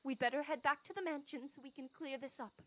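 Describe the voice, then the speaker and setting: A woman voicing a genteel, dramatic young lady suspected of murder in an animated mystery parody. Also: high-pitched, quick pace, slight background noise, close to an everyday voice. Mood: anguished, pleading. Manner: genteel, melodramatic, pleading.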